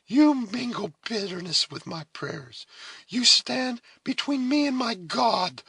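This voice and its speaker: A man voicing a noble young woman, a solemn elder sister in a storybook, speaking with deliberate, heavy emotion. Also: no echo, heavily acted. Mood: solemn disgust. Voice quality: high, forceful.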